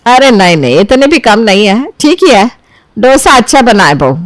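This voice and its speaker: A woman's voice: a little high, sing-song, moderate pace, dry recording. A woman voicing a blunt older mother-in-law, a grudging matriarch with a chiding, matter-of-fact manner. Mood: approving.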